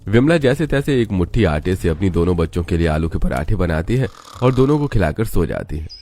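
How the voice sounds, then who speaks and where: A man's voice: low-pitched, big pitch swings, fairly quick, darkish tone. A man reading scripted narration for a moral story.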